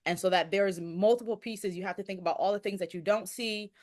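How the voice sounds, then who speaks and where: A woman explaining history conversationally as a podcast guest, halting and circling back to rephrase her points. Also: mid-range voice, fairly even intonation, darkish tone.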